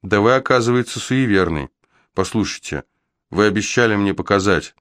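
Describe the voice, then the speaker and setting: A self-assured young woman musician from an audiobook novel, read by a man in his fairly low voice. The delivery is teasing and frank, and the sound is dry and close. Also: normal speed, fairly bright tone, clear, resonant tone, no room sound, lightly acted.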